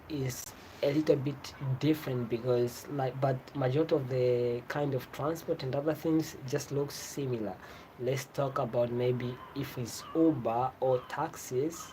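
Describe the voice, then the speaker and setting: Tanzanian vlogger, swahili first language, chatty explaining w/ lots of rephrasing, medium pitch, noisy background. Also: dark tone. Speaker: travel vlogger. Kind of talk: informal explanatory vlog talk. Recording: clear background ambient noise.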